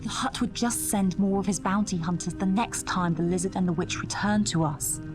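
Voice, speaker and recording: An actress playing a gentle, cautious mother of a desert tribe in an audio drama, soothing and hushed. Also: medium pitch, normal speed, natural voice. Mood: cautioning. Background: dramatic music.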